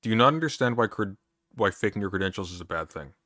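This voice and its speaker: A man's voice: fairly low pitch, lively intonation, fast, sharp tone, dry recording. A man in his thirties debating online, dry and dismissive, repeating himself when cut off. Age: 30s. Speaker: streamer in a debate.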